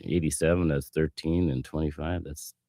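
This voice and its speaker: A man hosting a casual finance podcast, hoarse from a cough, relaxed and jokey. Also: a bass voice, moderate pace, dry recording, clean studio sound. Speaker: podcast host.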